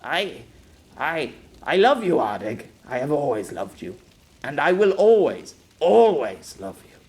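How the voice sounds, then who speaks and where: A man's voice: mid-range voice, sing-song, measured pace. A man playing a desperate, devoted middle-aged knight with impassioned pleading in a story reading. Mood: heartfelt.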